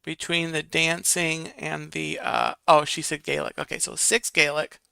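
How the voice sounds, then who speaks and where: A man's voice: on the high side, little pitch movement, measured pace, gravelly, pushed and bright, smooth, dry recording. A man hosting a casual live stream, reading viewer comments and counting votes aloud while muttering to himself.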